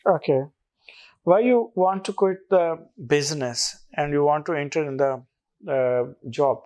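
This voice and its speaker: A man's voice: a little high, measured pace, darkish tone. Probing man on an interview panel. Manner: brief, probing questions. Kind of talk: mock job interview questions.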